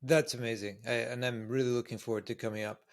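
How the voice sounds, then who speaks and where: A man's voice: mid-range voice, fairly even intonation, quick pace. A man from Canada hosting a casual podcast interview and putting questions to a guest.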